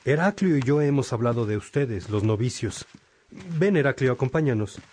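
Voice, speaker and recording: An actor playing a calm, fatherly bishop who instructs a novice in a measured way in a religious radio drama. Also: medium pitch, slight background noise, lightly acted.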